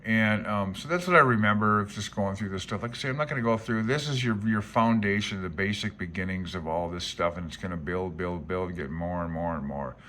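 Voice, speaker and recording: Man in his sixties, low voice, laid-back, thinking aloud, informal hobbyist walkthrough, reminiscing. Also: fairly quick, few pauses, sharp tone, a little hiss or hum. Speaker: amateur radio hobbyist presenting a handbook. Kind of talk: casual rambling commentary while paging through a book.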